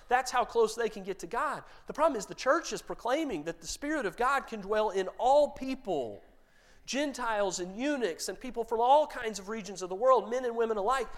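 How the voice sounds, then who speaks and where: A man preaching a sermon, retelling a story with lively quoted dialogue. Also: sits high. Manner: animated retelling, quotes characters in his own voice. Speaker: pastor.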